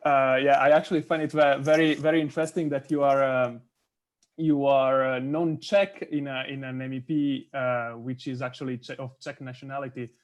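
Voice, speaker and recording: A man's voice: medium pitch, little pitch movement, moderate pace. A man co-hosting an online panel, asking a follow-up question while stumbling and repeating his words.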